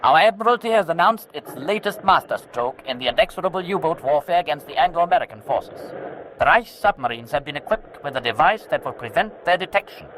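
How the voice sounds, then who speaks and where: A man's voice: a little high, animated, brisk, a bit bright. A man playing a boastful German propaganda announcer in a 1940s radio drama, proclaiming pompously over a noisy old recording.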